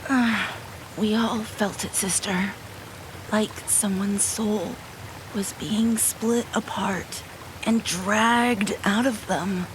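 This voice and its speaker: Solemn celestial sister, acted by a woman: mid-pitched, extremely slow dramatic delivery, fantasy audio drama. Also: steady tone, background noise. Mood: pained, solemn. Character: solemn heavenly sister sharing another's agony.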